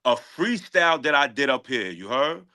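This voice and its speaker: A man's voice: medium pitch, very animated, moderate pace, very noisy. A man, a rapper talking casually in an interview with heavy slang, confident and emphatic, often repeating his phrases. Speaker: guest rapper.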